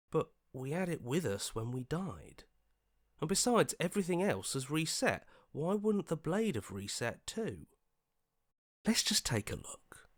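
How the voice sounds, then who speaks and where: A man's voice: fairly high pitch, dry recording, studio quality. An actor playing an anxious, earnest young male hero in a video game, hesitant and pleading, sometimes stammering. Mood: cautious.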